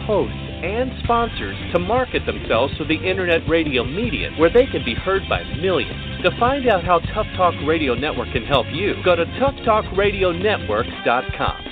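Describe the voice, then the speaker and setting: A man's voice: a little high, very animated, normal speed, few pauses. A man reading a scripted promo spot in an upbeat announcer delivery. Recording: tinny phone-like audio with music bed. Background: music.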